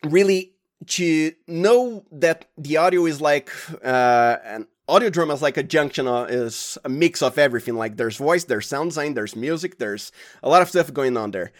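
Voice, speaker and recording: A man with a Brazilian accent, a native Portuguese speaker, talking casually and haltingly as an interview guest. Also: a little high, lively intonation. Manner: halting, informal, often says "like". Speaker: sound designer and podcast guest.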